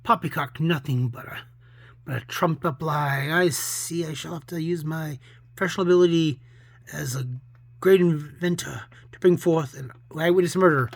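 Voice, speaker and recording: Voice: mid-range voice, moderate pace, vocal fry, no room sound. A reader voicing a blustering, boastful inventor in a comic book story, pompous and accusing.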